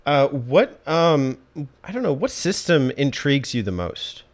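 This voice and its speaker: A man's voice: mid-range voice, very animated, a little slow. A man hosting a casual interview podcast, laid-back and inquisitive in the way he talks. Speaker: podcast host.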